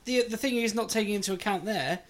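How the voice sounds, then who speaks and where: A man's voice: high voice, quick pace. A man co-hosting a podcast, bluntly chiming in with laughs and swearing. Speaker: podcast co-host. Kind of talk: casual chat.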